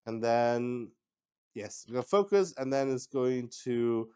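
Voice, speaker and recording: A man improvising narration as he plays a solo tabletop roleplaying game, thinking aloud in a halting way. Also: mid-range voice, animated, mellow, smooth. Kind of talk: improvised game narration while rolling dice.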